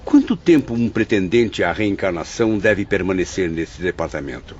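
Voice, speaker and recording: A man's voice: sits low, animated, moderate pace, a little mellow. A man playing a polite, inquisitive male apprentice spirit in an audio drama. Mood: curious. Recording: slightly dull audio, faint hiss.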